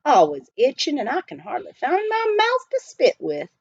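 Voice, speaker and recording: A woman voicing a friendly, rough-hewn young gold miner in folksy frontier dialect for a children's storybook. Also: sits high, huge pitch swings, moderate pace, darkish tone, dry recording, dull audio, pitched above the performer's own voice, heavily acted. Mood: chatty. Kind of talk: children's storybook read aloud.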